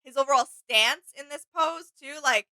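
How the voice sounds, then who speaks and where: A woman's voice: high voice, moderate pace, pushed and bright. A woman co-hosting a reaction video with casual chat commentary, agreeable, informal and emphatic.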